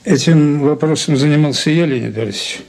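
An actor playing a deferential investigator's aide in a radio play, reporting in a factual, official way. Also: male voice, a little high, fairly quick, gravelly, darkish tone. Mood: businesslike. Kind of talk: radio drama acting.

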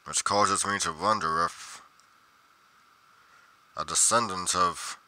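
A man's voice: deep voice, takes it slowly, bright, forceful tone, exaggerated voice. A man playing a pedantic, musing paranormal investigator narrating his journal theatrically in a game roleplay, pompous and dramatically emphatic. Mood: grave, dramatic.

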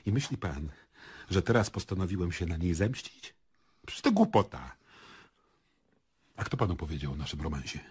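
A man's voice: low-pitched, very animated, normal speed, long pauses, darkish tone, husky, exaggerated voice. An actor playing an indignant, defensive male murder suspect in a radio play, scornful and incredulous.

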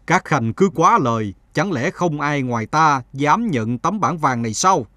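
A man's voice: on the high side, animated, a little slow, bright, forceful tone, dry recording, studio quality. A man voicing a proud, stately emperor who tests his subjects in a cartoon fable. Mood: commanding. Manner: stately, commanding.

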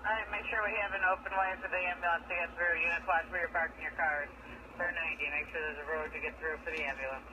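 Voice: mid-range voice, fairly even intonation, quick pace. A police dispatcher giving instructions over the radio, repeating warnings and locations. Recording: noisy narrow-band police radio traffic.

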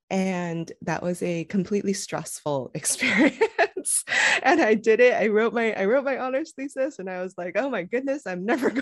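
A woman's voice: medium pitch, dry recording, clean studio sound. A woman, a guest on a video-call interview, talking thoughtfully with frequent restarts and fillers. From United States. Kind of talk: casual conversational interview. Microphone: headset.

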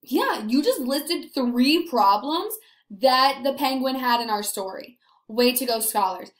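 High-voiced, animated young woman teacher. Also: unhurried. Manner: animated, encouraging, emphatic. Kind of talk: read-aloud lesson for young children. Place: small indoor room. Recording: echoey small room.

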